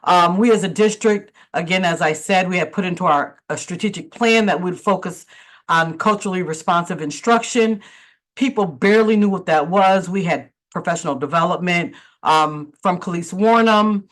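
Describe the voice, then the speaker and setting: A woman who is a school district administrator, answering questions in a webinar panel and pausing often with fillers and restarts. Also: lowish voice. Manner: halting, many fillers and restarts.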